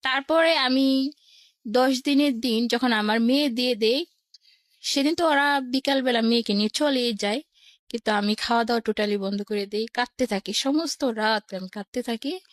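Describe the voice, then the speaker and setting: A woman with a fairly high voice, recorded in a dry space. She is an interview guest recounting personal memories as a first-person story. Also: moderate pace, dry recording. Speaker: guest telling her life story.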